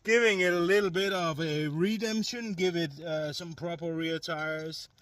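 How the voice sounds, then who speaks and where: A man's voice: fairly high pitch, steady tone, normal speed, a bit bright. A man giving a casual voice-over review as a hobbyist, rambling along hesitantly and often repeating words.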